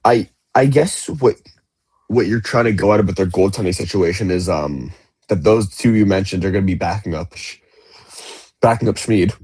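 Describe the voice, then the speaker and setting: A man's voice: low voice, normal speed, a little mellow, husky, no echo. A man co-hosting a podcast, talking sports in a loose, rambling way with lots of fillers. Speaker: podcast co-host. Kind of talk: casual sports chat.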